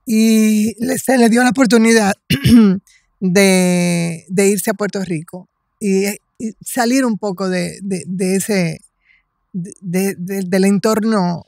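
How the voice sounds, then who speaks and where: A woman's voice: mid-range voice, fairly even intonation, slow pace, vocal fry, darkish tone, dry recording. Dominican woman in her sixties, dry close sound. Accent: Dominican Spanish. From Dominican Republic. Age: 60s. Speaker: podcast guest, writer and cultural promoter. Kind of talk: casual conversational storytelling.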